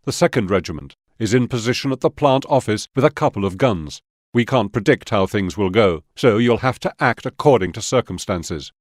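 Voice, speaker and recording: War game officer male actor: serious Soviet commander, measured briefing delivery, fairly deep register, dry studio sound. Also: darkish tone, no room sound, natural voice. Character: sober Soviet army officer briefing his men. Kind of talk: scripted mission briefing.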